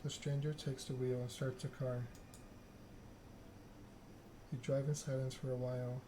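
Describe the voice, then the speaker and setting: A man streaming a game in soft ASMR style, reading story text aloud and chatting casually with viewers. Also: medium pitch, flat delivery, fairly quick, background noise. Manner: reads narrative text aloud, chats with viewers.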